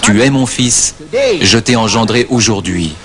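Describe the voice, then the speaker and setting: A man reading a French voice-over of a religious speech in a measured, solemn way. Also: on the low side, a crowd in the background.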